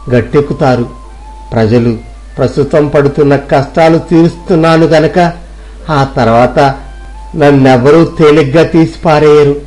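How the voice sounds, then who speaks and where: A man's voice: fairly high pitch, darkish tone, close to an everyday voice. A man playing a poor, self-doubting villager who thinks aloud earnestly in a moral story. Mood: resolved. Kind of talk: Telugu moral story audio drama.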